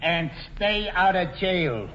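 An actor playing a brash, wisecracking ex-convict bootlegger boss in slangy 1940s radio drama style. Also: male voice, high voice, expressive, slow. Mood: calming. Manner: slangy, wisecracking tough-guy talk. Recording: dull, noisy vintage radio recording.

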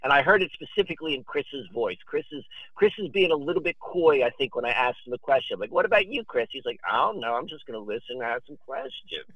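A man in his fifties talking casually as a podcast guest, telling rambling stories in an excitable, digressive, emphatic manner. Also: medium pitch, fast talker, hardly any pauses, no room sound, low-quality recording. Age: 50s. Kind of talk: animated rambling storytelling and casual chat.

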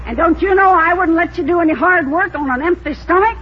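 A woman's voice: extremely high pitch, a little fast. An actress playing a feisty, watchful, plainspoken rustic woman in 1940s radio drama style. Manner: plainspoken rustic speech, scolding. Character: feisty, watchful, folksy woman protecting her father. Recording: muffled, hissy 1940s radio recording.

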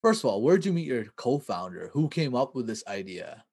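A man hosting a podcast, friendly, reacting briefly and firing quick questions. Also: mid-range voice, sing-song, a little mellow, a little hiss or hum. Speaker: podcast host. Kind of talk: casual podcast interview.

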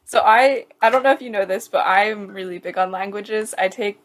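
A young woman from the United States talks in an easy, casual chat. Her voice sits at a middle pitch, and her sentences come out loose and full of "like" fillers. She breaks into a laugh every so often. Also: moderate pace. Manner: chatty, frequent "like" fillers, laughs while talking. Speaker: student.

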